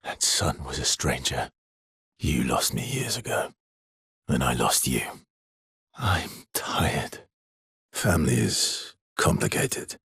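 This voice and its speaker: A guarded, world-weary immortal expeditioner in a video game drama. His voice is very husky and deep, moving expressively between soft hesitance and protective earnestness, recorded dry and close. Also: lively intonation, takes it slowly, long pauses, no room sound, exaggerated voice. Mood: weary, sorrowful. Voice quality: husky, breathy, gravelly low voice. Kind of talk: dramatic video game voice acting, naturalistic and understated.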